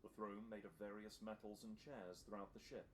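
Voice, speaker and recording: A man's voice: lowish voice, steady tone, normal speed. A man reading scripted narration for an audio drama as its storyteller. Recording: faint background noise.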